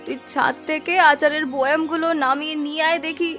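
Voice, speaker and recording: Woman playing a caring older cartoon matron, high voice, fussing, motherly, light music. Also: fairly even intonation, brisk, fairly bright tone. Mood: fretful. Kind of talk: animated cartoon story dialogue.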